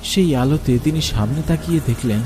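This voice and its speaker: A man's voice: a little high, brisk, over music. A man narrating a scripted horror story for a cartoon.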